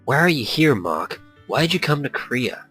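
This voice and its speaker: A man's voice: medium pitch, very animated, normal speed, a bit bright, faint music behind, pitched above the performer's own voice. A man voicing a determined young female teammate who speaks bluntly and demands answers. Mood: confronting.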